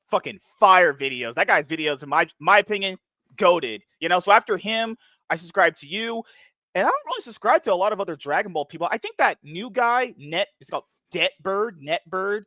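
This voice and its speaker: A man hosting a podcast, talking in a fast, excitable, profane and rambling way as he chats with a guest. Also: high voice, dry recording. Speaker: podcast host. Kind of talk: casual interview chat.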